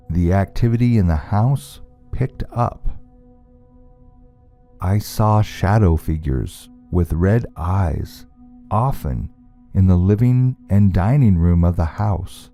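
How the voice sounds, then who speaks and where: A man narrating a scary story from a script in a measured, deliberate storytelling manner. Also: sits low, dark tone.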